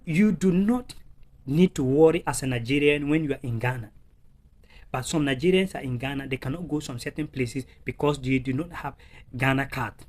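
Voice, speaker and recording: A man's voice: mid-range voice, normal speed, a little mellow. A man hosting his own channel, giving impassioned political commentary in an earnest, urging way, repeating phrases and using many fillers. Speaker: channel host.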